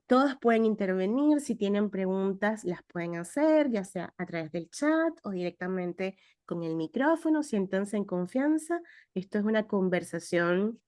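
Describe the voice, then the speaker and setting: A woman hosting and opening an online talk over a video call, relaxed and chatty with filler words. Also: medium pitch, normal speed, no room sound, clean studio sound. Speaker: host and program director.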